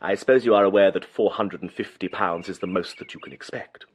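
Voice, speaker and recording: An actor playing an unctuous, condescending, ambitious English church official in a period radio drama, patronising and deliberate in his emphasis. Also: low-pitched, breathy edge. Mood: coldly lecturing. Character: oily, condescending, ambitious church official, coldly reforming villain.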